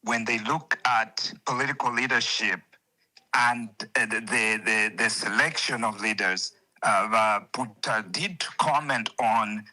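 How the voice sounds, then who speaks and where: Zambian-born male caller, slow, bright voice, thoughtful and halting. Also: on the low side, low-quality recording. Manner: slow, hesitant, many restarts. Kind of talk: call-in comment.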